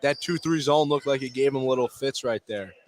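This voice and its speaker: A man doing live play-by-play basketball commentary in clipped phrases, with excitable calls on big plays. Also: mid-range voice, fairly quick. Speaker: play-by-play sports announcer. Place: courtside at a basketball game.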